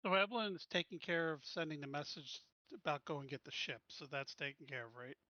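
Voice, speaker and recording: Bright, higher-voiced man at game table. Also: a little fast, no room sound, muffled recording. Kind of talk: casual game-table talk.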